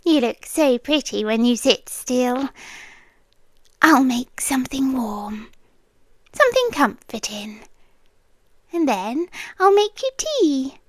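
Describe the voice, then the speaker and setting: A woman's voice: high-pitched, dry recording, exaggerated voice. A British woman playing a possessive, sweetly menacing landlady girlfriend in an intimate ASMR roleplay, coaxing and controlling, often dropping into whispers. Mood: doting, controlling.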